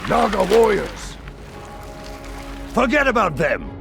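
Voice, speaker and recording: An actor playing an arrogant, spiteful human commander in a fantasy game, pompous, sneering and theatrical. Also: male voice, sits high, wildly swooping pitch, takes it slowly, vocal fry, full character voice. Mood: barking, furious. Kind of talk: dramatic fantasy game voice acting. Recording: background music under the voice.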